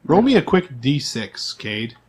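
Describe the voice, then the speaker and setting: A man's voice: medium pitch, sing-song, unhurried, slight background noise. A man acting as game master in a casual tabletop session, prompting players with questions and repeating their answers back. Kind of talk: casual tabletop role-play session chat.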